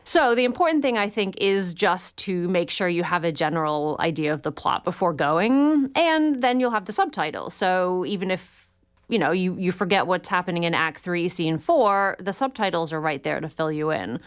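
A woman with a smooth, resonant voice, pitched a little low, speaks as a guest on a radio call-in show. She talks in an articulate, thoughtful way and sounds enthusiastic. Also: lively intonation, moderate pace. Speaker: guest author.

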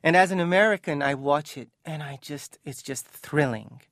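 A man's voice: on the high side, moderate pace, fairly bright tone, no room sound, studio quality. A man from Iran speaking as an author and interview guest, thoughtful, earnest and impassioned, sometimes reading from his book.

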